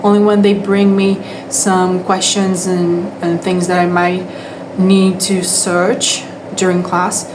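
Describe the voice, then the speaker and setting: Brazilian woman, low flat voice. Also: takes it slowly, noisy background. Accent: Brazilian Portuguese. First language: Portuguese. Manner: hesitant, with frequent restarts and fillers. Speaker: English teacher. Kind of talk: casual talking-to-camera monologue.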